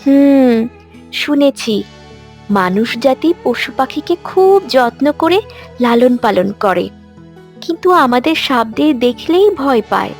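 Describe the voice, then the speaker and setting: A woman voicing a gentle young serpent princess in a cartoon story, tender, earnest and trusting. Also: sits high, lively intonation, unhurried, soft background music. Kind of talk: dramatized cartoon story dialogue.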